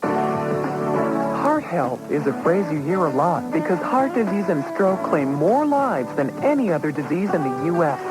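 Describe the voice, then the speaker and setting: A man with a fairly high, animated voice reads a scripted radio promotion for charity. Loud music plays behind his announcement. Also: rough recording. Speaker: advertisement announcer.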